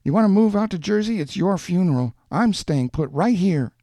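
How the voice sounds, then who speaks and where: A tough-talking young woman, as a male narrator puts her on in a short story reading. The voice sits high and jumps around, with slangy, defensive, sharp-tongued phrasing. The sound is close and dry. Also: very animated, normal speed, no room sound. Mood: angry, defiant.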